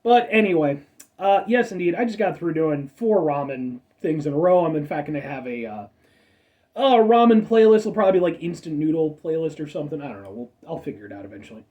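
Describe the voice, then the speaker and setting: A man in his thirties with a stuffed-up nose hosts a casual soda review, rambling, correcting himself and adding asides. His voice is mellow and dark, with lively swings in pitch. The mic sits a little away from him. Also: a little fast, distant mic, highs cut off. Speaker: food review show host.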